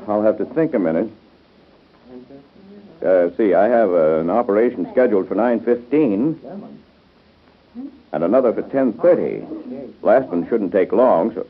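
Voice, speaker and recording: Good-natured busy doctor, 1930s radio soap. Low, animated, hesitant delivery, muffled vintage broadcast. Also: male voice, measured pace, long pauses. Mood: businesslike, hesitant. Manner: hesitant, conversational. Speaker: radio soap opera actor. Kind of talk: 1930s radio drama acting.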